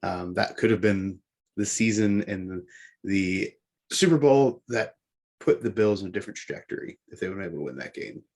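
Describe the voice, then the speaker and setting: A man's voice: low-pitched, fairly quick, a little mellow. A man co-hosting a sports podcast, talking casually through a countdown of teams and games.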